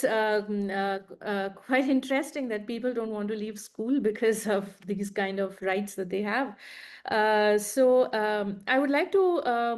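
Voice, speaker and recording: A woman moderating an academic panel over a video call, speaking hesitantly with many fillers. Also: a little high.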